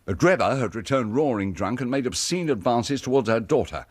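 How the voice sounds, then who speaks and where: A man's voice: mid-range voice, lively intonation, brisk, dry recording, natural voice. A man playing a smug, jovial British police inspector in a radio drama, telling things with self-satisfied emphasis. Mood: confident.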